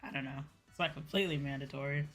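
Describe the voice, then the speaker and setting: A woman streamer chatting casually and answering viewers in an animated, conversational way, often repeating phrases for emphasis. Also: very deep voice, fast. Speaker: VTuber streamer; high school teacher. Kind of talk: casual livestream chat, replying to viewers. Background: background music.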